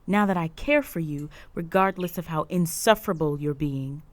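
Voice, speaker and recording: A woman playing a stern, proud, possessive female orc chieftain in a roleplay audio drama, speaking in a formal, measured, authoritative way. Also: deep voice, dry recording, lightly acted. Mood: possessive, exasperated.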